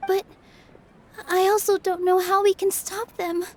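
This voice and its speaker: An actress voicing a gentle, kind young female dancer who speaks in a soft, encouraging, earnest way. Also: very high-pitched, little pitch movement, a little slow, very noisy. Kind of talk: video game dub acting.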